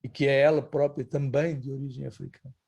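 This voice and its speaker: A man's voice: medium pitch, fairly even intonation, fairly quick, vocal fry, a little mellow, highs cut off. A man, a guest writer, talking reflectively in a conversation and often checking in with short tag questions. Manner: thoughtful, tags points with "não é?".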